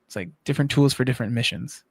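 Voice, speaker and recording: A man's voice: medium pitch, rapid-fire, gravelly, husky, no echo. A man co-hosting an art livestream, chatting casually and conversationally as a fellow artist.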